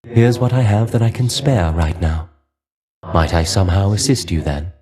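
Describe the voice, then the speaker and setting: A man's voice: sits low, moderate pace, dark tone, close to an everyday voice. A man voicing a weary, brooding dead Greek warrior in a video game, resigned and aloof, with formal, archaic phrasing. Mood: resigned, flat. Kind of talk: video game character dialogue.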